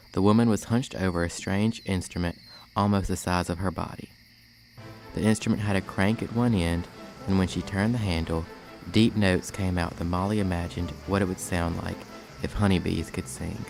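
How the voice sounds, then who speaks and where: A man's voice: deep voice, vocal fry, darkish tone. A young man narrating a story from a script. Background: music.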